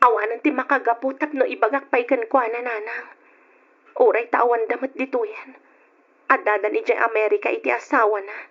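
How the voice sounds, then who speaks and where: High, husky young Ilocano mother. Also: fairly even intonation, a little fast. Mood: resolute, sad. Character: resolute young single mother, respectful to her elders. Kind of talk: radio drama acting. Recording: dull old radio drama recording.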